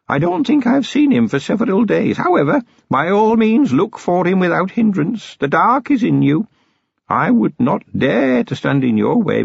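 A man voicing an old male goblin elder who speaks in a formal, quietly courteous, unhurried way. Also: sits high, gravelly, slightly rough, no room sound, dull audio, voice pushed up, full character voice.

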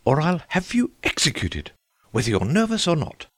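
Woman doing a husky grumpy storybook king, bossy n threatening, pitch swinging wildly. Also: wildly swooping pitch, a little slow, full character voice. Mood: angry, threatening. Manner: imperious, sharp, blustering. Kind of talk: storybook character reading.

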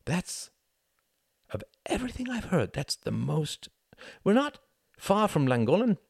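A charming, sensitive young man from a storybook, voiced by a male narrator. He is easily moved and speaks hesitantly, with expressive, shifting pitch. The sound is dry and close. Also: measured pace, vocal fry, breathy edge, dry recording, heavily acted. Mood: subdued. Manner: hesitant, emotional.

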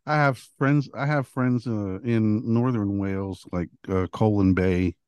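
Low-voiced host guy at his desk, dry sound, um-ing a lot. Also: a little slow, darkish tone, clear, resonant tone, no echo. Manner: hesitant, filler-heavy questions. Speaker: podcast host. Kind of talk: casual interview chat. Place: home desk at a computer.

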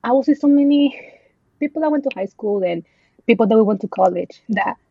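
A woman's voice: fairly high pitch, animated, fairly quick, breathy edge, dry recording. Podcast guest, woman in her 20s from El Salvador, chatty with lots of ums, dry dull lo-fi sound. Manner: chatty, many ums, slips into Spanish words. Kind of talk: casual podcast chat.

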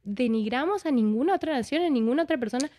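Woman, slightly high voice, expressive, reflective interview talk. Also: quick pace. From Argentina. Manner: expressive, acts out imagined dialogue as she talks. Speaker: interview guest, actress.

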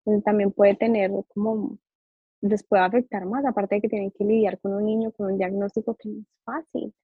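A young woman, a Spanish-first-language psychologist, tells her story in a lively way and mimics other people's voices when she quotes them. Her voice is breathy and husky and sits at a middling pitch. It comes through a narrow, phone-like line in a dead, dry room. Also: normal speed, no room sound. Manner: animated, acts out quoted dialogue. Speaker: psychologist and behavioral therapist guest. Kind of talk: casual interview storytelling.

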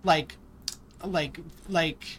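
A man's voice: a little high, fairly even intonation, extremely slow, fairly bright tone, a little hiss or hum. A man improvising casually in a tabletop roleplaying game, making up characters and what they say.

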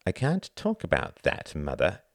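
A man's voice: sits low, huge pitch swings, vocal fry, no room sound, heavily acted. Man acting a smug, resentful grown son. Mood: withholding. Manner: dry, deliberate, self-important.

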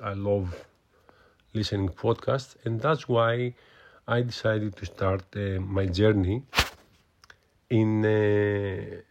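A man's voice: lowish voice, vocal fry, muffled recording. A man with a Greek accent, hosting a solo podcast and talking with many hesitations and fillers. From Greece. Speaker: podcast host.

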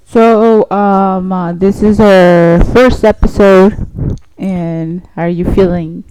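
Slow-talking woman in her late twenties. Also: mid-range voice, fairly even intonation, dark tone, a little hiss or hum, low-quality recording. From California. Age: 20s. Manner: hesitant, brief replies. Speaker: podcast co-host. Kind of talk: casual chat.

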